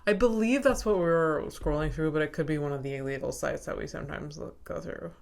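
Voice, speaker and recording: A woman's voice: low voice, quick pace, gravelly, darkish tone. A young adult woman co-hosting a podcast, chatting casually, laughing often and filling her talk with "like". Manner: laughs often, lots of filler "like". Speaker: podcast co-host. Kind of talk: casual chat.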